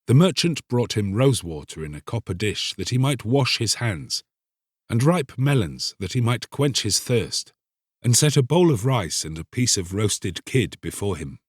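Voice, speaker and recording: Husky, expressive male storyteller. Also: fairly low pitch, moderate pace, no room sound, clean studio sound. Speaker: audiobook narrator, actor. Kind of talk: dramatic literary storytelling, scripted narration.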